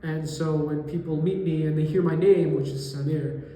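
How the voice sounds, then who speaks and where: A young man in his twenties, a college student from New York, answering interview questions thoughtfully and pausing to find his words. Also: a little high, fairly even intonation, mellow. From New York area, US. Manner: thoughtful, pauses to search for words. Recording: echoey room with long reverb.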